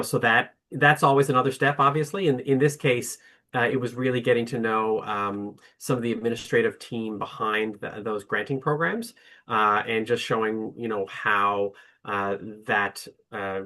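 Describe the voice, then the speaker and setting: Male panelist, bright tone, halting with lots of fillers. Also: lowish voice, steady tone. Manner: hesitant, many fillers and restarts. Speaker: orchestra representative. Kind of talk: webinar panel talk.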